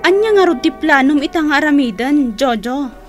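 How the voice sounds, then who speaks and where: A woman's voice: high-pitched, lively intonation, moderate pace, over music. A woman playing a concerned, peace-making young wife in a radio drama, with an Ilocano accent. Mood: worried.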